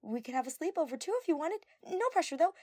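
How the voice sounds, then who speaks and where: A woman voicing an energetic, devoted teenage girl in an audio story, earnest and gushing and easily flustered. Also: high voice, fast, no room sound, pitched above the performer's own voice. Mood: eager, caring.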